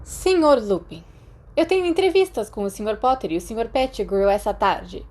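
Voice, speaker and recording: Woman acting a stern, weary older schoolmistress, raised pitch. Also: animated, normal speed, a little mellow. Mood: stern, disappointed. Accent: Brazilian. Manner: formal, exasperated, pointed questioning.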